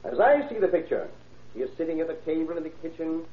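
Stern courtroom prosecutor, oratorical, roomy echo, thin old radio sound. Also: male voice, fairly high pitch, sing-song. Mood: stern, persuasive. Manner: formal, oratorical, deliberate. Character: stern, methodical prosecuting attorney addressing a jury. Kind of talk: old-time radio drama acting. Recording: echoey, tinny old radio recording.

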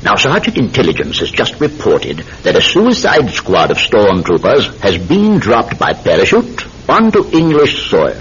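An actor playing a sinister, controlled hypnotist posing as a polite tobacconist, with deliberate, theatrical delivery in an old-time radio drama. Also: male voice, lowish voice, wildly swooping pitch, fairly bright tone, exaggerated voice. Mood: commanding. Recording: muffled, hissy old radio recording.

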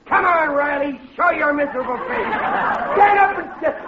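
Blustering high-voiced radio sitcom husband. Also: male voice, moderate pace, over a noisy crowd. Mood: blustering, indignant. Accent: American. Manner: blustering, stammering when flustered, folksy uneducated grammar. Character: blustering, gullible working-class husband and lodge member, easily flustered. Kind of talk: 1940s American radio sitcom acting. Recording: muffled old radio recording, studio audience, hiss.

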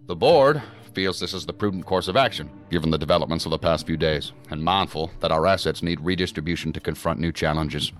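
An actor playing a measured senior federal agency director in a sci-fi audio drama, formal and deliberate in his speech. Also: a bass voice, faint music behind. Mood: commanding, businesslike.

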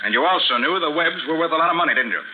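An actor playing a commanding private detective, brisk and authoritative, in the style of 1940s radio drama. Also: male voice, on the high side, lively intonation, narrow, tinny audio, lightly acted. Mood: tough, accusing. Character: hard-nosed, commanding male private detective. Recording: noisy, band-limited 1940s radio recording.

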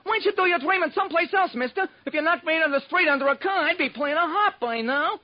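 A man's voice: very high-pitched, quick pace. An actor voicing a grumpy messenger boy, griping in slangy, indignant style in an old-time radio drama. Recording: muffled vintage radio recording.

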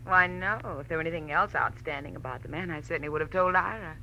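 A woman's voice: sits low, quick pace, pushed and bright. An actress playing a flirtatious, sly married woman in 1940s radio style, coy and teasing. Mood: sly, cool. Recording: noisy old radio recording.

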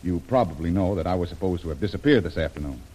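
An actor playing a plucky, plain-spoken American seafaring adventurer in an old-time radio drama. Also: male voice, low-pitched, expressive, rapid-fire, darkish tone. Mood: determined, urgent. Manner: plain-spoken, informal, hesitant when cornered. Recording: noisy vintage radio drama recording.

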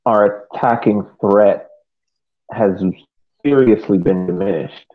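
A man co-hosting a soccer podcast, talking through casual sports analysis in halting, hesitant phrases over a narrow phone-like connection. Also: lowish voice.

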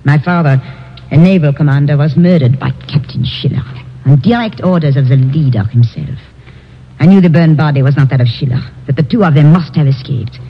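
A woman's voice: low-pitched, a little fast, slightly rough. A woman playing a determined, vengeful reporter in an old-time radio drama, speaking formally and with command. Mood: grim, resolute. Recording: muffled, noisy vintage radio recording.

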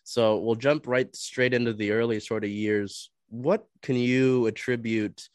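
A man's voice: medium pitch, fairly even intonation, a little slow, a little mellow, clear, resonant tone, dry recording. A man hosting a sports podcast interview, a little nasal and stuffy from a cold, who asks long, rambling questions. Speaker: podcast host. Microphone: video call.

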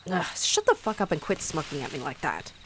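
A woman's voice: low voice, huge pitch swings, brisk, slight background noise, rough recording. A woman playing a haughty, sarcastic female vampire in a roleplay audio drama, mocking and theatrical as she taunts her rival. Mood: grudging, irritated.

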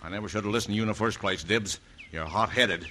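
A man's voice: fairly low pitch, quick pace, bright, forceful tone. An actor playing a stern, blustering rancher father with an American Western accent in an old radio drama. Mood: angry, stern. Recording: faintly noisy old radio recording.

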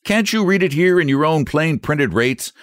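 A man voicing an enraged, sarcastic customer who shouts and sputters over a shipping fee. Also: deep voice, huge pitch swings, moderate pace, dry recording, studio quality, pitched below the performer's own voice. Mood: furious. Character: furious, sarcastic man shipping guinea pigs.

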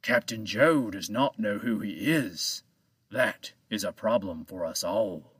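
A man voicing a mysterious old island keeper who speaks in slow, measured riddles. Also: on the low side, a bit bright, breathy edge, no echo, clean studio sound, distant mic, heavily acted. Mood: calm, cryptic.

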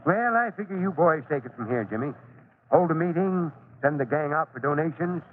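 A male actor plays a kindly, middle-aged fire chief in a vintage radio serial. He talks in a folksy, fatherly way with small hesitations, in a fairly high male voice. The recording is thin and narrow, with noise underneath. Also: animated, a little fast, natural voice. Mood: genial, easygoing. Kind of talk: old-time radio drama acting. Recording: tinny, noisy old radio recording.

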